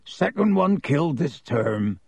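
A man's voice: fairly high pitch, sing-song, unhurried, gravelly, husky, dry recording, exaggerated voice. A man voicing a huge, kindly storybook groundskeeper with a hearty, blunt, friendly manner. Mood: matter-of-fact.

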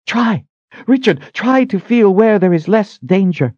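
A man's voice: mid-range voice, animated, normal speed, warm tone, dry recording, highs cut off, voice pushed up, natural voice. A man voicing a stern, frightened and devout religious sister in an earnest, scolding manner, as in an audiobook. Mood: frightened, urgent.

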